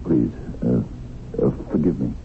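An old tinny radio drama recording of a smitten businessman. His low voice jumps around in pitch as he stammers, flustered and hesitant. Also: animated, unhurried, heavily acted. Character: smitten, flustered, nervous businessman courting a woman. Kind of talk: 1970s radio drama acting. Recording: tinny, lightly noisy old radio broadcast.

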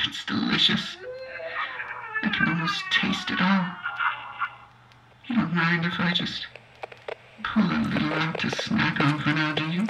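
An actor voicing a creeping entity that feeds on a man's thoughts, slow and gloating. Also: mid-range voice, recorded from a distance, heavy background noise.

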